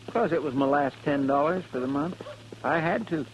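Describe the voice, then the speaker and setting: An actor playing a folksy, naive sidekick in a vintage radio Western, plaintive and deferential, with a rural American accent. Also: male voice, fairly high pitch, a little fast, natural voice. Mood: plaintive, sheepish. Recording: dull, hissy old radio recording.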